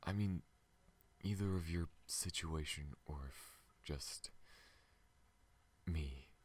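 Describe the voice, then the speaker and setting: Very deep, gentle alien played by a man. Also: slow pace, husky, exaggerated voice. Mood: tender, earnest. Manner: hesitant, tender, soft-spoken, often breathing into whispers. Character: gentle, shy, apologetic male alien with a tail. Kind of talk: ASMR roleplay, intimate scripted acting.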